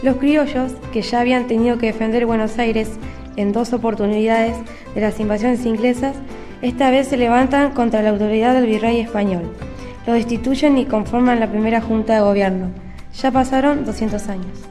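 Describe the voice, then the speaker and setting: A woman's voice: a little high, fairly even intonation, moderate pace, warm tone, soft background music. A young woman reading scripted history narration in a student radio play. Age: teenager or young adult.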